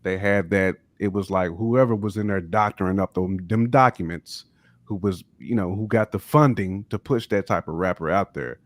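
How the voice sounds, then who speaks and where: A man co-hosting a podcast, talking in a lively, casual way. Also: sits low, normal speed, no room sound. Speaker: podcast co-host. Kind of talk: casual discussion.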